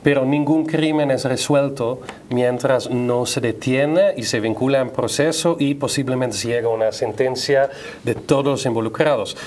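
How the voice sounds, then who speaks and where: A man giving measured, somewhat halting commentary as a guest on a studio panel, often ending on tag questions. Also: mid-range voice, darkish tone, a little hiss or hum. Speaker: guest, press freedom advocate.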